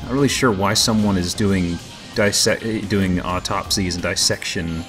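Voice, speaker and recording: A man's voice: low voice, measured pace, a bit bright. A man giving casual, dryly joking commentary as he plays a video game. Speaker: let's play host. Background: game music.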